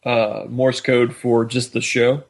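A man's voice: medium pitch, flat delivery, slow pace. A man talking casually as a podcast co-host, discussing a story with his partner. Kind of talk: casual discussion of an audio drama.

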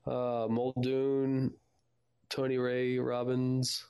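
A man's voice: medium pitch, completely flat delivery, drawn-out words, dark tone, clean tone. A man from Texas chatting informally as co-host of a fan podcast about an audio drama. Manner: informal, conversational.

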